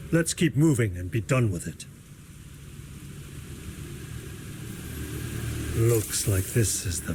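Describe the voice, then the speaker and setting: An actor playing a suave, scheming video game villain, with a deliberate, formal, taunting and theatrical delivery. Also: male voice, on the low side, background noise. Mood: smug, intrigued. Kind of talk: scripted video game voice acting.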